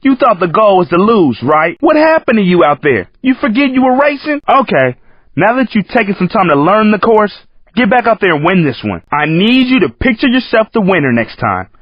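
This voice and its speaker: An actor playing a cocky, hip street-racing coach in a video game, with an American accent and a slangy, teasing, streetwise way of talking. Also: male voice, sits high, very animated, moderate pace, no room sound. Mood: teasing, scolding. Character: cocky, hip male San Francisco street-racing coach, joking and hyping. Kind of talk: video game character commentary. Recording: muffled lo-fi game audio, dry.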